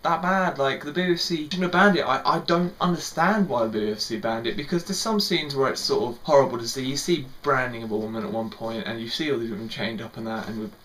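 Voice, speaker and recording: A man's voice: medium pitch, quick pace, few pauses, fairly bright tone, a little hiss or hum, rough recording. A young man giving a casual spoken film review, rambling a little and repeating words, with lots of "sort of" and "like".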